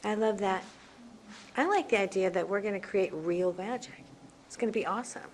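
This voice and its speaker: A woman's voice: medium pitch, fairly quick. Breezy woman moderator, faint background noise. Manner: informal, breezy, slangy, effusive. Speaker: panel moderator. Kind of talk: casual panel moderation. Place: live panel event. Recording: faint room noise of live event.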